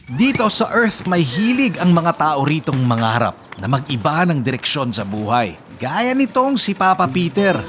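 Over prominent music comes a pompous alien cat general from an animated series. The voice sits fairly high and moves in big melodic swoops. It has a Filipino accent and a theatrical, scornful air. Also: male voice. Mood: mocking. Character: proud, scornful alien cat general narrating his Earth observations. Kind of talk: animated cartoon narration.

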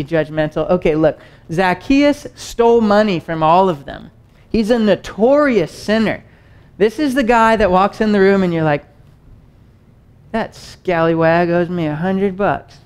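A young man preaches in a loose, conversational way, moving around a stage as he talks. His voice sits a little higher than most men's, and his delivery stays lively and easygoing. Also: smooth. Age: young adult. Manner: animated, conversational, gestures widely while pacing. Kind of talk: teaching sermon. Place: stage with wood-panel backdrop and screen.

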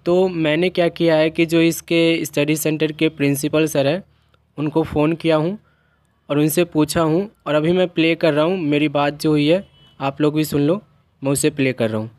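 Male vlogger, slightly high voice, dry close sound, explains and restates points for viewers. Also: fairly even intonation, normal speed, darkish tone, dry recording. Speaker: YouTuber helping open-school students.

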